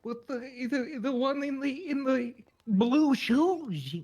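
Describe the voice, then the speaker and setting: A man playing a befuddled, childlike man in his eighties, halting and stammering in a wavering old voice during improvised roleplay. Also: very high voice, animated, darkish tone, exaggerated voice. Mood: naive, rambling. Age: eighties, as played. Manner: halting, stammering, rambling, naive. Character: muddled, childlike eighty-two-year-old man testifying as a witness.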